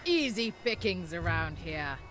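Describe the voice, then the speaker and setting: A woman's voice: lowish voice, very animated, measured pace. An actress playing a fierce, swaggering pirate queen who is eager for blood and plunder in a video game. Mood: proud, swaggering. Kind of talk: video game unit voice lines. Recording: game music over the voice.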